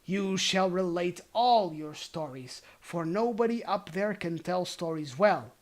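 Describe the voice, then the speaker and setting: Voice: mid-range voice, expressive, measured pace, lightly acted. A storybook reader voicing a jovial, boastful old male goblin patriarch. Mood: merry, expansive. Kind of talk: storybook character voice.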